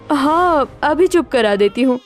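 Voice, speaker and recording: A woman playing an easygoing young modern flatmate in cartoon story dialogue. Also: high voice, takes it slowly. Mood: agreeable.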